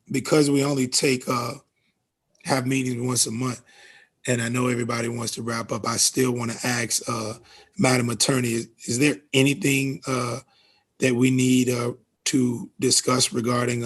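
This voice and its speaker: A man with a medium-pitched, rather flat voice chairs a formal meeting on a video call. He moves through procedure haltingly, with pauses as he picks his words. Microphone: video call microphone.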